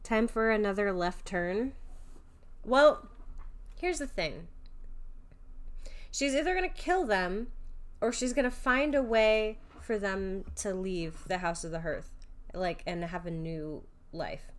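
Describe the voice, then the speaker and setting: A woman commenting on a game as she streams it. She talks at a slow pace with a medium pitch, casual and offhand, dropping playful little asides. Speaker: livestreamer reacting to a game.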